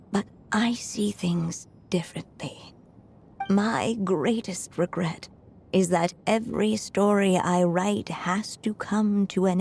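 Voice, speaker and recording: A woman playing a frail but resolute elderly writer in a video game, measured and reflective, with a breathy voice that weakens into whispers. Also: mid-range voice, darkish tone, a little hiss or hum. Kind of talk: dramatic video game voice acting. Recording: music under the voice.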